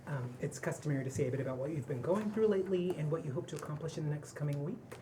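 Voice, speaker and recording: A woman's voice: low-pitched, very fast, lightly acted. A woman reading the part of an upbeat male group leader at a table read, with a ceremonious, announcing manner, in a noisy live recording. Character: Colin, upbeat male group leader running a house ritual. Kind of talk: table read of a pilot script.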